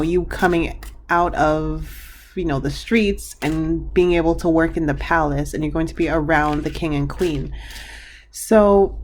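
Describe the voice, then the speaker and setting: Chatty, slightly hoarse tarot reader woman. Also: lowish voice, a little mellow, slight background noise. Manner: chatty, meandering, many fillers and restarts. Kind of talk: pick-a-card tarot reading.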